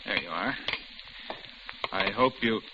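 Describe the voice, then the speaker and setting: An actor playing a young, reserved diner counterman, terse and flat with faint menace, in 1940s radio drama style. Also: on the low side, slow. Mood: guarded. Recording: muffled, very noisy old radio recording.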